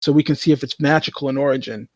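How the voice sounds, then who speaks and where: A man's voice: medium pitch, very fast, darkish tone, no room sound. A man chatting casually as a tabletop game player, hesitantly repeating and rewording himself as he goes.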